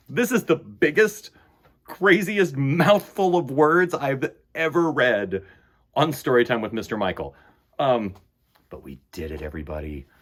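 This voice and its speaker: A man hosting a children's storytime, reading aloud and chatting in a theatrical, emphatic way. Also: on the high side. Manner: theatrical, emphatic, swings between loud bursts and hushed asides. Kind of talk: animated read-aloud and chat to children.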